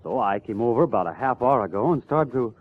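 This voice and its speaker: An actor playing a lovesick, anxious young suspect, earnest and defensive, in a 1950s radio crime drama. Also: male voice, mid-range voice, lively intonation, fast talker, natural voice. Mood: earnest pleading. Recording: tinny, phone-like old radio recording.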